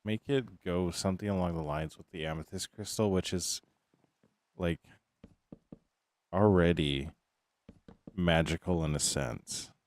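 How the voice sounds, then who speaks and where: Young male streamer, deep voice, loose filler-heavy musing. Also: measured pace, pauses often. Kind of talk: casual gaming stream chat.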